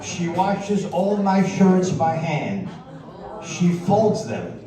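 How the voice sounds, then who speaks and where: A man's voice: high voice, expressive, extremely slow. A middle-aged man from Sicily, casually telling his life story in a rambling, boastful way and chuckling often. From Sicily, Italy. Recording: roomy, distant mic, crowd around.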